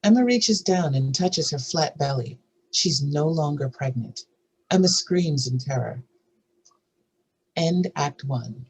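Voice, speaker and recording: Husky screenplay narrator. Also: mid-range voice, animated, slow pace, a little mellow, poor audio. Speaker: narrator reading stage directions. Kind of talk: scripted screenplay table-read narration.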